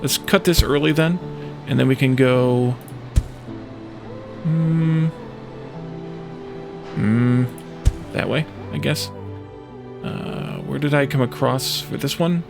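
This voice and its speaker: A man with a gravelly, creaky voice talks through a game as he plays it, with music clearly behind him. He sounds casual and tentative, musing to himself as he works things out. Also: mid-range voice, slow pace, pauses often. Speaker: let's play host. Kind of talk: casual gameplay commentary, thinking aloud.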